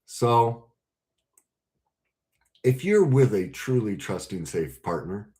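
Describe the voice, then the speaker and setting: A man speaking as a relationship coach, earnest and emphatic, breaking his talk into short, deliberate phrases. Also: fairly low pitch, sing-song, warm tone. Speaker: relationship coach and podcast host. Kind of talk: coaching talk to camera with role-played example conversations.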